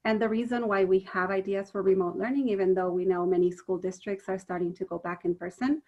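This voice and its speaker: Woman, webinar co-host, mid-pitched, organized delivery, dull lo-fi call audio. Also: little pitch movement, a little fast, a little mellow. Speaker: co-presenter, series host. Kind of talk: webinar presentation. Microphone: video call.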